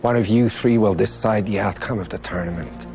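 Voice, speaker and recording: An actor playing a grave, mysterious thunder god mentor in a fantasy action film, speaking in a measured, portentous way. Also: male voice, fairly low pitch, music in the background, lightly acted. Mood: grave, ominous.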